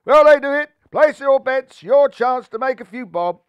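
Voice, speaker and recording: Bookmaker, played by male reader: loud repetitive hawker's patter, pitched well up, dry storybook recording. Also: lively intonation, moderate pace, smooth, dry recording, highs cut off. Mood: eager hawking. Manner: shouting sales patter, repetitive. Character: loud hawking racecourse bookmaker drumming up bets.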